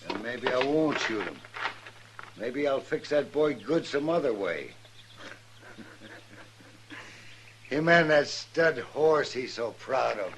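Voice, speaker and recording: A man's voice: medium pitch, lively intonation, slow, long pauses. A man playing a mean, stubborn old horse rancher in a radio western, with a defiant, folksy drawl and an American Western accent. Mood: spiteful, menacing. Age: older. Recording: old radio recording with noise.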